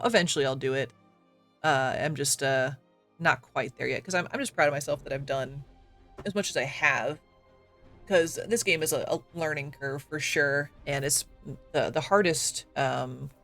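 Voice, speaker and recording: A man streamer, slightly raspy from coughing, chatting casually and self-deprecatingly with lots of fillers and quick laughs while he plays. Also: on the high side, fairly bright tone. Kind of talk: casual live-stream chat while gaming.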